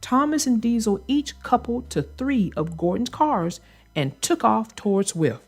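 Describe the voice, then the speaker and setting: Animated woman reading a children's story. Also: fairly high pitch, unhurried, faint music behind. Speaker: children's book narrator. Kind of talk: expressive read-aloud storytelling.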